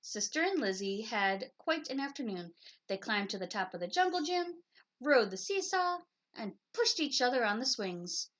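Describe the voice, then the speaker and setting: A woman reading a children's story aloud in an expressive storytelling style. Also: fairly high pitch, normal speed. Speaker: picture book reader.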